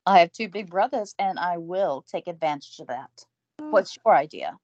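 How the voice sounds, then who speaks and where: A woman's voice: lowish voice, lively intonation, normal speed, a little mellow, no echo, close to an everyday voice. A woman plays a dry-witted, independent young woman who will not be fussed over. Her voice rises and falls a great deal. The recording is dry and somewhat muffled. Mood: wry. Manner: dry and wry.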